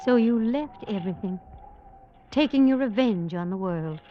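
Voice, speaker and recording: An actress playing a frightened, fragile young woman who speaks softly and hesitantly, in an old-time radio drama. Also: medium pitch, animated, normal speed, a little hiss or hum, natural voice. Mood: wistful. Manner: soft, pleading and hesitant. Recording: dull old radio recording.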